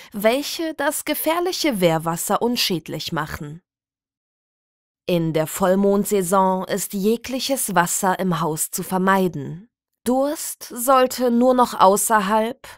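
Female narrator for satirical audio fiction: unhurried, deadpan officialese, mid-pitched, dry sound. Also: no echo. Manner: formal, measured, deadpan bureaucratic delivery. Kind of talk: scripted reading of a mock official public notice.

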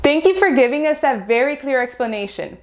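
A young woman hosts with a bright, upbeat manner and a fairly high voice. Her lines are delivered in a clear scripted way. She speaks into a lapel mic in a studio. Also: animated, a little fast. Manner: upbeat, enthusiastic. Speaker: host. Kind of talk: scripted channel host talk. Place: studio before green screen, indoors.

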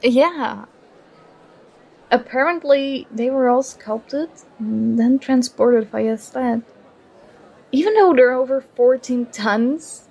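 Girl doing a shy nerdy girlfriend asmr thing, slow n a bit high, creaky voice, gets all flustered. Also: darkish tone, exaggerated voice, low-quality recording. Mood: explaining, nerdy. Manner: bashful, halting, playfully protesting. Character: flustered, nerdy, affectionate young girlfriend on a date. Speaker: ASMR roleplay performer.

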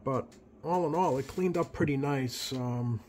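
A man giving a casual hands-on demonstration in a halting, unscripted way, as a hobbyist presenter at a workbench. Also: mid-range voice, a little mellow. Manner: halting, hesitant, unscripted delivery. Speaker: radio repair hobbyist presenter. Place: indoors at a workbench. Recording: clear background noise.

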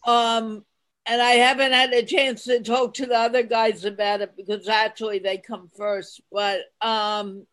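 An older woman on a video call who speaks very slowly, shaping each word with care. She sounds encouraging as she co-hosts a casual conversation. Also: on the high side, level tone, a bit bright. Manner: slow, deliberate and encouraging. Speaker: co-host.

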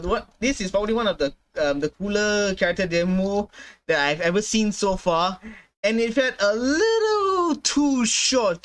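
Excitable man reacting, very lively pitch. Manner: excitable, exclamatory. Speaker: gaming reaction video host. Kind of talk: casual live reaction commentary. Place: small indoor room. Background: game trailer music.

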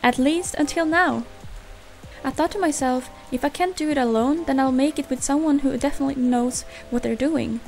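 A woman's voice: sits high, moderate pace, a little mellow, soft background music. A woman voicing a bubbly, chatty young cat-eared pop singer in a visual novel fan dub. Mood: excited.

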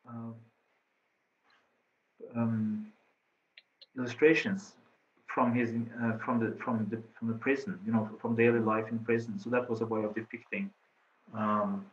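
A middle-aged man with a Norwegian accent, a researcher answering questions in an online talk, halting with many fillers and restarts. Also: mid-range voice. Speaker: presenting researcher. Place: office room indoors. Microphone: laptop webcam on a video call. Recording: slightly dull video call audio.

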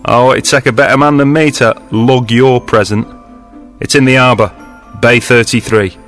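A man's voice: mid-range voice, fairly even intonation, normal speed, a bit bright, soft background music, close to an everyday voice. A man voicing a dry, matter-of-fact male harbour master.

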